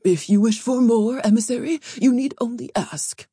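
A woman's voice: on the high side, normal speed, dark tone, husky, no echo, studio quality, voice pushed up. Woman as an unctuous, pushy palace lord. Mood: ingratiating. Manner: unctuous, formal, insistent. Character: smooth, pushy male palace lord, obsequious host. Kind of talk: audiobook character voice.

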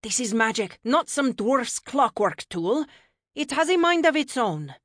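An imperious ancient goddess as a woman narrator plays her in a fantasy audiobook. She is haughty, formal and commanding, fairly high in pitch and sweeping widely up and down. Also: lively intonation, normal speed, no room sound, clean studio sound, lightly acted. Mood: cross. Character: imperious, fierce ancient Norse goddess, proud and impatient.

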